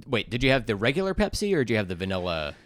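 A man chats as a podcast co-host with lively, swinging intonation. He is enthusiastic and crude in his joking, and the sound is dry and close. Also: on the low side, rapid-fire, no echo. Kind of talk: casual comedic banter, taste-testing sodas.

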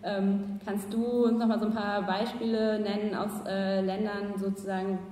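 A woman's voice: mid-range voice, level tone, normal speed, dark tone, faint music behind. A woman moderating a panel discussion, speaking hesitantly with many fillers. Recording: echoey hall, distant mic.

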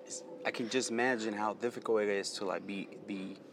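Casual male interviewer, lower voice, friendly and loose, outdoors with faint ambient noise. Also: steady tone, brisk. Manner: loose and friendly, repeats words. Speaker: interviewer, host.

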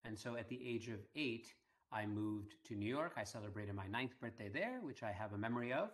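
Soft-spoken man telling his story. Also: lowish voice, lively intonation. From Iran. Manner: measured, with frequent fillers. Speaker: guest. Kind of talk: conversational interview.

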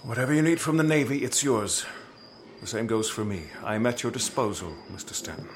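An anguished, formal statesman of the 1860s , played by a man in a historical audio drama. The voice is medium in pitch, solemn and emotive, with old-fashioned phrasing. Faint night ambience and crickets sit behind it. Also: brisk, a little hiss or hum, lightly acted. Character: anguished, indignant male Navy Secretary in 1865, formal.

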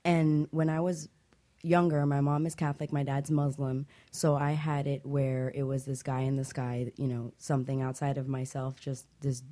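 A woman's voice: extremely low pitch, level tone, mellow. A woman hosting a casual panel discussion, with a playful, easygoing manner. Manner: playful, quick to laugh. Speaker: talk show host.

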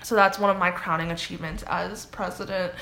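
Young Canadian woman, interview guest, bright and chatty, loose conversational delivery. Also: lowish voice, brisk, a little hiss or hum, rough recording. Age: young adult. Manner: chatty, many "like" fillers, animated quoting. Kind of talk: casual interview.

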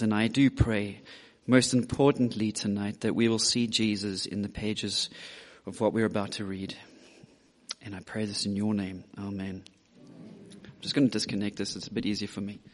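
A male youth pastor, hoarse from preaching earlier, talking in a chatty, joking way to his congregation. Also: medium pitch. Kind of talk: casual sermon. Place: church hall. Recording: reverberant church hall.

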